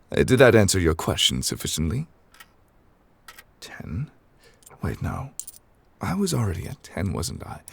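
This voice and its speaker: A man voicing a courteous, absent-minded ancient god in human form for an ASMR roleplay, speaking formally and wordily with polite apologies. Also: full character voice. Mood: patient, flustered. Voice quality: very low, creaky. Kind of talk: ASMR character roleplay, improvised.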